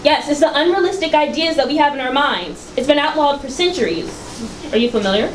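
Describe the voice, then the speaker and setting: An actress in a staged reading, playing a prim, anxious researcher from a far-future utopia, speaking in precise, formal, didactic phrases. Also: female voice, sits high, lively intonation, brisk. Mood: formal, instructive. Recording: echoey, noisy live room recording.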